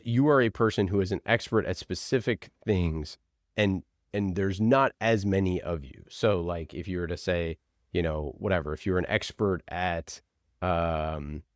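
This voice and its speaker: Deep-voiced man explaining things informally. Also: dry recording, studio quality. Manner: informal, halting, thinks aloud with examples. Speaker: interview guest, podcast producer. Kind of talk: conversational explaining.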